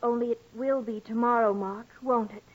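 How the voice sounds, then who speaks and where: A woman's voice: fairly high pitch, fairly even intonation, moderate pace, lightly acted. An actress playing a charming, spoiled young rich woman in love, coaxing and playfully commanding, in 1940s radio drama style. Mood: pleased, insistent. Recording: dull old radio recording.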